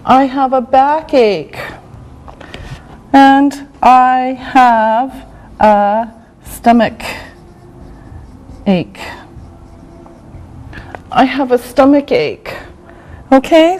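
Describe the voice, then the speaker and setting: A woman teaching a classroom vocabulary lesson, saying each phrase slowly and repeating it. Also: high-pitched, a little mellow. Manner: slow, drawn-out repetition of words. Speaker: English teacher.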